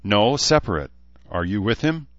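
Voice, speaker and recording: A man's voice: on the low side, very animated, normal speed, a bit bright, pitched above the performer's own voice. A man voicing a haughty, ancient elf lord who speaks formally and imperiously, as a fantasy audiobook character. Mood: questioning. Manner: formal, imperious, archaic phrasing. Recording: dull, lo-fi, roomy sound.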